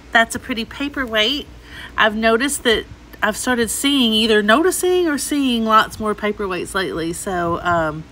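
A woman's voice: mid-range voice, fairly bright tone, slight background noise, low-quality recording. A middle-aged woman giving casual, chatty shopping vlog commentary, gushing over the items she looks at. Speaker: host, antiques reseller. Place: inside an antique mall.

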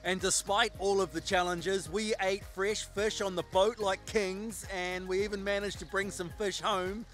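New Zealand man, forties, chatty vlogger, slightly high voice, faint music under. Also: steady tone, normal speed, few pauses, a bit bright. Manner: upbeat, chatty, laughs often. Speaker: fishing vlogger. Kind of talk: casual vlog chat to camera. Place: on a small boat at sea, outdoors. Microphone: handheld camera at arm's length.

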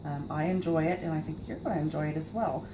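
A woman's voice: low voice, quick pace, background noise. A woman in her forties hosting a casual home cooking show, chatty and full of fillers, recorded on a camera mic in her kitchen. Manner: chatty, filler-heavy, self-deprecating.

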